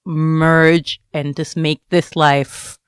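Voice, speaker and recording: A woman's voice: sits low, flat delivery, extremely slow, smooth, no echo, studio quality. A woman from Jamaica who came to America as a child, answering interview questions reflectively, feeling her way through personal thoughts. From Jamaica, moved to America as a child. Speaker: student writer, interview guest.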